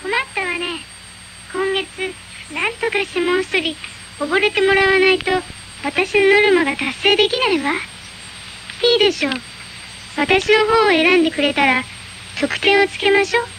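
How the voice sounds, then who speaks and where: A woman's voice: extremely high pitch, normal speed, pauses often, sharp tone, slight background noise, rough recording. An actress playing a polite but calculating female angel in a radio drama. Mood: scheming. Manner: politely formal, cool.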